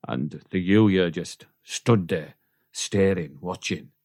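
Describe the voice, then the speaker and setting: Awkward Welsh farmer, played by a man, low and plain-spoken, dryly recorded radio comedy. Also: slow, darkish tone, no room sound, clean studio sound. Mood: hesitant, subdued. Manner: hesitant, matter-of-fact. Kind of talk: comic radio play acting.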